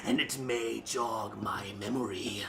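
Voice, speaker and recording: A man voicing a greedy, sly cobra man in a story reading, coy and drawn-out with a hissing edge. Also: lowish voice, steady tone, vocal fry, a bit bright, pitched below the performer's own voice, exaggerated voice. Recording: noticeable background noise.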